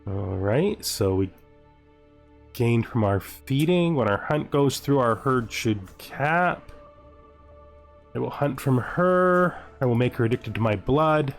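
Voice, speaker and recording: A man's voice: medium pitch, expressive, slow, soft background music. A man hosting a gaming video, thinking aloud in casual commentary and playfully gloating with in-character asides.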